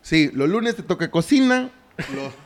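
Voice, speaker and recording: A man's voice: high-pitched, big pitch swings, a little slow. A man with a Mexican accent co-hosting a casual, crude, joking movie recap chat, full of slang and rants. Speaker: podcast co-host.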